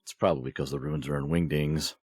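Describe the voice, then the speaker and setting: A man with a husky voice playing himself in a comedic audio drama, with a wry, sarcastic manner. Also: a bass voice, steady tone, fairly quick, a little mellow. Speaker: podcast performer playing himself in a comedy skit.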